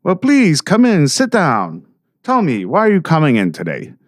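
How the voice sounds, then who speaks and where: A man's voice: on the high side, huge pitch swings, normal speed, no echo, studio quality. A man playing a self-important, scheming small-town mayor in an audio drama, with a pompous, ingratiating way of talking.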